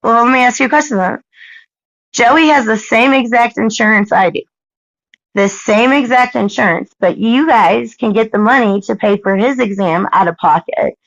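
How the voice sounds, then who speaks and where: Adult American woman, fry in the voice, halting but persistent in dispute, muffled lo-fi call recording. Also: fairly high pitch, no echo. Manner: insistent, halting, repeating herself. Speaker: parent questioning a caseworker. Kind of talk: argumentative phone conversation. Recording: dull lo-fi recorded phone call.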